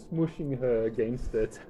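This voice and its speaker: A South African man narrating a tabletop game as game master, in a halting, conversational way. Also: mid-range voice, mellow, muffled recording. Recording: noisy room recording.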